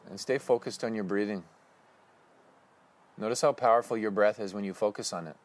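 A man leading a guided breathing meditation with slow, calm, instructive cues. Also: lowish voice.

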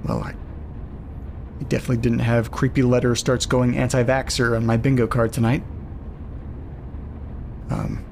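A man acting a bewildered loner in an audio drama, talking to himself at night. He mutters hesitantly in a mid-pitched voice, with dry sarcasm and plenty of swearing. There is some audible noise behind him. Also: lively intonation, normal speed, vocal fry, long pauses, a little mellow. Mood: sardonic. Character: bewildered, sardonic, sweary man alone at night reading strange letters. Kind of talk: audio drama monologue, recorded-diary delivery.

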